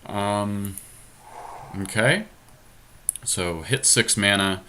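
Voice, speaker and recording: A man with a fairly low voice and a bright, forward edge hosts a card game session. He thinks aloud casually, working through each choice in loose, slangy language. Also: extremely slow, a little hiss or hum. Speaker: podcast host playing a card game.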